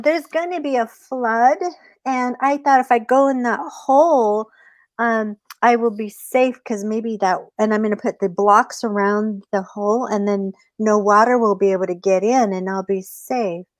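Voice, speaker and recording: A woman voicing a sly trickster rabbit in a spoken folk tale, coaxing and falsely worried, explaining haltingly. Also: fairly high pitch, steady tone, dry recording, lightly acted. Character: sly trickster rabbit feigning worry and reassurance.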